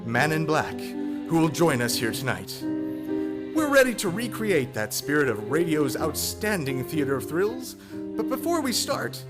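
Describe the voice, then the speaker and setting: A male announcer hosts in grand, theatrical vintage radio fashion. His voice is high for a man and very lively in pitch, with music clearly audible behind him. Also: unhurried. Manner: grandiose, showmanlike. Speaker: host, announcer.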